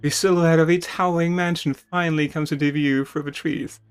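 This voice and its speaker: A man's voice: fairly high pitch, fairly even intonation, brisk, clean tone. A man streaming a dramatic, theatrical reading of game text, with casual asides to viewers. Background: music.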